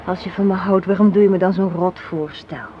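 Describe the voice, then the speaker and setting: A woman's voice: lowish voice, fairly even intonation, brisk, natural voice. An actress in a radio play playing an assertive, cynical young woman, arguing in an articulate, sardonic way. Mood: challenging, cynical. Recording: muffled, hissy old radio play recording.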